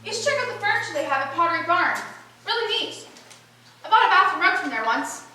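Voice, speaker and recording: A far-off, heavily echoing recording of a stage rehearsal. An actress plays a chatty, ditzy, admiring woman with a very high, sharp, animated voice. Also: fast talker, exaggerated voice. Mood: chirpy, chatty. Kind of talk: amateur stage play rehearsal. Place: echoey indoor rehearsal space. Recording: noisy echoey room, distant microphone.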